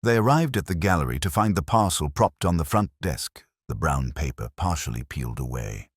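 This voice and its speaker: A man narrating a mystery audiobook in a measured, literary storytelling manner. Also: a bass voice, expressive, husky, no echo, clean studio sound.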